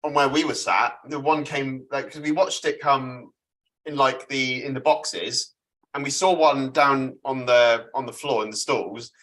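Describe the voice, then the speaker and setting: A man's voice: mid-range voice, steady tone, measured pace, fairly bright tone, rough recording. A man chatting as a panelist, often laughing his way through his stories. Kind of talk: casual chat.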